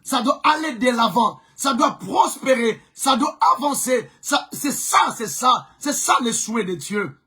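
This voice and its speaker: Fervent Congolese preacher, very high, bright voice. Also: male voice, expressive, unhurried, few pauses, breathy edge. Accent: Congolese French. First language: Chokwe. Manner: fervent, emphatic preaching, often shouted, repeating phrases. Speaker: pastor. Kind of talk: live-streamed preaching sermon.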